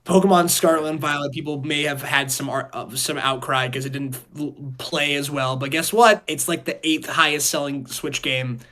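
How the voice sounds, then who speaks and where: A man's voice: on the high side, fairly even intonation, normal speed, few pauses, sharp tone. A man hosting a casual podcast discussion, rambling and repeating phrases as he poses questions. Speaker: podcast host.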